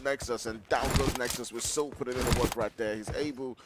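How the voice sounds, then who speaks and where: A man with an African English accent giving live play-by-play commentary on an esports match, with steady fillers as he calls the action. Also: mid-range voice, very noisy. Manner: rapid excited calls with filler "you know". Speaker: esports caster. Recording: game gunfire and vehicle sounds behind.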